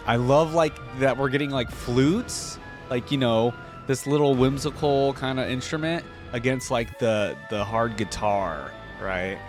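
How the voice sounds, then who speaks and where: A man's voice: mid-range voice, measured pace, few pauses. A man giving casual reaction commentary in an enthusiastic, conversational way, as if thinking aloud. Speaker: reaction video creator. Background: background music.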